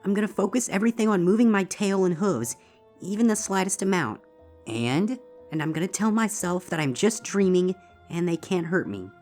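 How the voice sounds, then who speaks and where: A woman's voice: fairly low pitch, darkish tone, lightly acted. A woman voicing a cute, frightened little filly pony in a dramatized story reading, pleading and childlike. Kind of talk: dramatized fan fiction reading. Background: background music.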